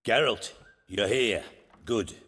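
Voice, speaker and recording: A man playing a calculating, erudite conspirator in a fantasy video game, talking in a measured, lecturing manner. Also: lowish voice, a bit bright, husky, heavily acted. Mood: calm, assured. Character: composed, erudite male conspirator, a calculating revolutionary. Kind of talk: dramatic video game dialogue.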